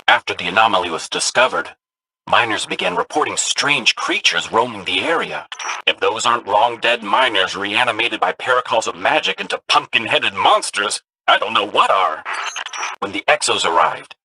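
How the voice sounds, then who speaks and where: An actor in a video game telling spooky lore in an animated, theatrical manner. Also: male voice, lowish voice, normal speed, gravelly, slight background noise, exaggerated voice, low-quality recording. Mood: spooky storytelling.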